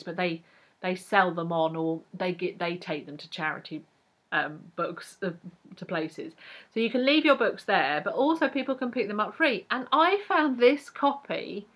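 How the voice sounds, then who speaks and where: A woman's voice: lowish voice, fairly bright tone, breathy edge. A young English woman chatting to camera as a book vlogger, rambling with fillers and restarts. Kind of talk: casual chat to camera.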